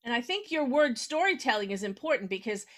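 A woman's voice: a little high, sing-song, fairly quick, fairly bright tone. A woman hosting a conversational interview, explaining things in an encouraging, chatty way. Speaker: podcast host, writer.

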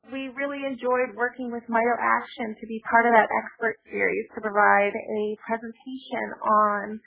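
A woman's voice: fairly high pitch, creaky. A woman giving a prepared company update on a conference call, with frequent "um" fillers. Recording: phone-line audio with faint hiss.

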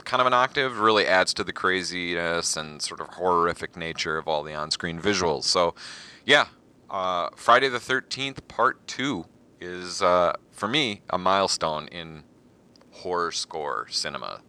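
Deep, bright-toned man talking casually. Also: expressive, measured pace, smooth. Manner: casual, thoughtful, with fillers. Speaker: film podcast guest. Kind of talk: recorded podcast segment.

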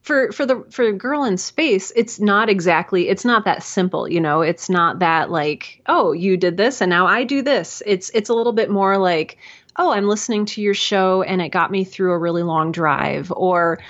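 A woman's voice: mid-range voice, moderate pace. A woman giving earnest, effusive answers as a guest in a conversational interview, often acting out little quotes. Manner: earnest, effusive, animated self-quoting. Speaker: podcast guest, writer and mentor. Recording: dull, lo-fi sound.

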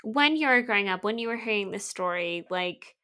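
A woman with a bright, clear voice hosting a casual chat. She asks earnest, searching questions and keeps rewording them mid-sentence. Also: mid-range voice, animated, brisk. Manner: earnest, probing questions, rephrasing as she goes. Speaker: podcast host.

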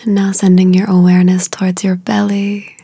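A woman leading a guided meditation in a soothing, unhurried way, with a soft, breathy voice and long pauses between short phrases. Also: mid-range voice, completely flat delivery, no room sound, studio quality.

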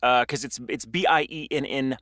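A man's voice: medium pitch, moderate pace, fairly bright tone, dry recording, studio quality. A man presenting a podcast, reading a scripted essay in an erudite, literary manner with conversational asides.

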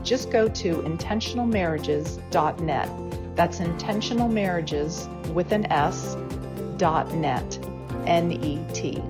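A woman announcer reading a scripted promo outro for a show in a smooth, read-from-script way. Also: fairly low pitch, takes it slowly. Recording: voice over loud music.

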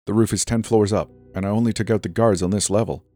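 A man's voice: on the low side, steady tone, fast talker, dark tone, soft background music, pitched above the performer's own voice, lightly acted. A man voicing a curt, serious young male leader who speaks tersely and urgently.

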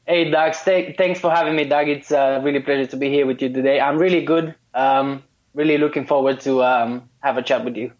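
A man's voice: mid-range voice, fairly even intonation, a little fast. Hesitant man, muffled audio. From Romania. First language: Romanian. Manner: hesitant, many fillers and restarts, laughs often. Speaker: guest, course student. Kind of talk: casual interview.

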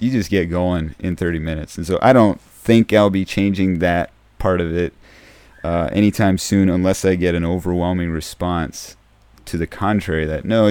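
A man hosting a podcast in a casual, soft-spoken way, with a low, dark voice that tends toward mumbling. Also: no room sound. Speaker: podcast host. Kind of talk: casual solo podcast talk. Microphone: close podcast microphone.

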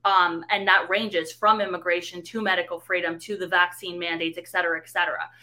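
A woman's voice: on the low side, level tone, a little fast, pushed and bright. A young woman from New York City with a slight Bronx accent, answering interview questions in articulate, detailed explanations. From New York City, USA. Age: young adult. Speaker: guest.

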